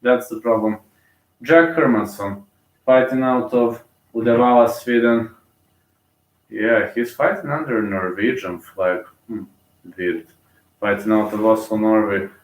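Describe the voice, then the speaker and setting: A man's voice: fairly low pitch, unhurried, far from the mic. Bulgarian man, casual fight commentary, loose and rambling, roomy echo. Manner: rambling, thinks aloud with many fillers and restarts.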